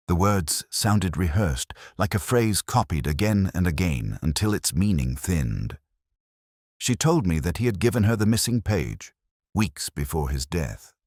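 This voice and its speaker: A man reading a scripted first-person literary mystery in a solemn, measured, reflective manner. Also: a bass voice, dry recording, clean studio sound. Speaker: narrator.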